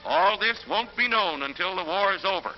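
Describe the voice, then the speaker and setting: A man's voice: high-pitched, expressive, moderate pace, very noisy. An American man narrating a 1940s newsreel in an emphatic, declamatory manner. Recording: muffled 1940s newsreel soundtrack. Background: orchestral music.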